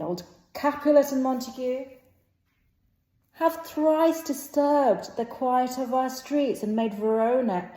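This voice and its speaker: A woman's voice: on the high side, mellow. Woman reading a play aloud, echoey room. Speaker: amateur reader playing all the parts. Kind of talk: impromptu dramatic read-aloud of a stage play.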